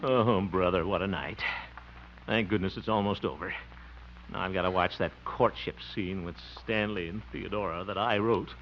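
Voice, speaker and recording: An actor playing a flustered, sarcastic stage director with an excitable manner, in golden-age radio comedy style. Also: male voice, deep voice, moderate pace, a little hiss or hum. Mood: grumbling, sarcastic. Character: flustered, exasperated male stage director of amateur pageant. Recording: muffled old radio recording, loud music.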